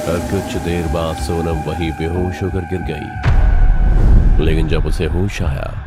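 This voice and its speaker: A man giving a scripted, dramatic narration of a horror story for a cartoon. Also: deep voice.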